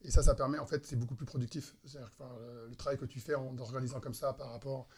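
A man in his thirties from France, a guest on a relaxed podcast. He speaks hesitantly, full of pauses, fillers and restarts. His voice sits at an ordinary middle pitch. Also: crowd noise. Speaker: entrepreneur guest. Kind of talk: casual podcast interview.

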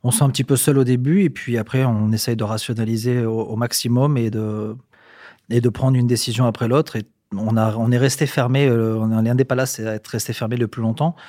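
A man's voice: mid-range voice, steady tone, brisk, dark tone, dry recording, studio quality. A man in his forties from southern France, a hotel manager answering interview questions with many fillers and restarts. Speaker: hotel general manager, guest.

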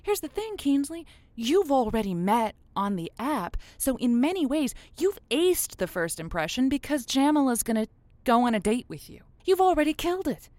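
A woman playing an affectionate, encouraging call-in advice host, folksy and halting, in a semi-improvised audio drama. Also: sits high, big pitch swings, a little mellow, dry recording. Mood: affectionate, reassuring. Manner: folksy, halting, reassuring, pet names. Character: encouraging, affectionate female lonely-hearts radio show host.

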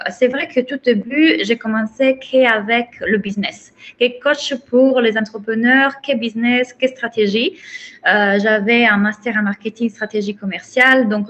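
A woman from Italy with an Italian accent, a coach speaking slowly and haltingly in a casual interview, with many restarts. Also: fairly high pitch, fairly bright tone, lo-fi sound. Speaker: business and life coach, guest.

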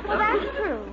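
A woman's voice: extremely high pitch, highs cut off, full character voice. A woman playing a sweet, admiring young girlfriend in a 1940s radio comedy, gushing and encouraging. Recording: old radio recording, loud audience, hiss.

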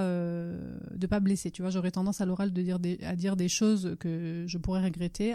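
Italian-born woman author chatting in an interview, very dark soft tone, stops and rephrases a lot. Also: on the low side, no echo, studio quality. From Naples region, Italy. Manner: hesitant, halting, rephrases often. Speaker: author and blogger being interviewed. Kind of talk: casual conversational interview.

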